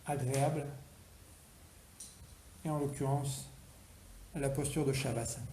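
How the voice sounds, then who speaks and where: A man guiding a relaxation meditation as a yoga instructor, speaking slowly and deliberately, with pauses between instructions. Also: mid-range voice, steady tone, warm tone. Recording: roomy echo, faint background noise.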